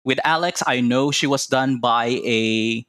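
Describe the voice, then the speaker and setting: A man's voice: medium pitch, measured pace, fairly bright tone, clean tone, dry recording, clean studio sound. A Filipino man from Cebu, a Cebuano speaker, chatting enthusiastically as a podcast guest. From Philippines (Cebu). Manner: chatty and enthusiastic, laughs often. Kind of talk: casual conversational interview.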